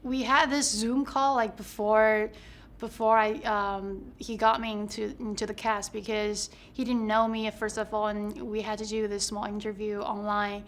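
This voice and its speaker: Flat-toned woman speaking English as a second language. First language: not English. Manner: halting, repeats words, very even pitch. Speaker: actor. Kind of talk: casual junket interview.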